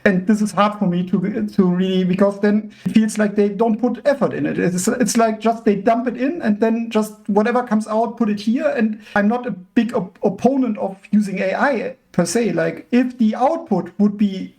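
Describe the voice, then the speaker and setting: High, gravelly man. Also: darkish tone. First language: German. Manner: deliberate, searching for words. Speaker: guest, open-source developer. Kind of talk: casual podcast conversation.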